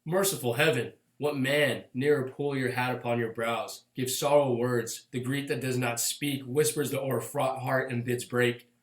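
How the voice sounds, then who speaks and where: A noble war leader played by a man exhorts in a Shakespearean radio drama. His voice sits at a middle pitch, declamatory and resolute, with clear room echo around it. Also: fairly even intonation, normal speed, hardly any pauses, close to an everyday voice. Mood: consoling, urging. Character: resolute young noble leader urging revenge. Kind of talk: Shakespearean radio play acting. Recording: echoey room.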